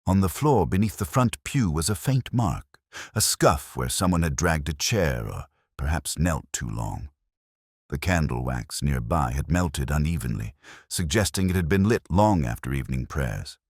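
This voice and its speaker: Brooding middle-aged detective, extremely low voice, expressive rise and fall, measured literary radio drama narration, wry, melancholic. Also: male voice, no echo, clean studio sound, exaggerated voice. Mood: wry, suspicious. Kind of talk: scripted first-person radio drama narration.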